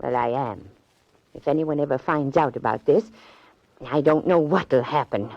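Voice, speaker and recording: An actress playing an eccentric, plucky older woman in a 1940s American radio drama, brisk, prim and forthright. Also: deep voice, no echo. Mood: secretive, grave. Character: brisk, no-nonsense old spinster lady, eccentric and plucky. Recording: dull, band-limited vintage radio recording.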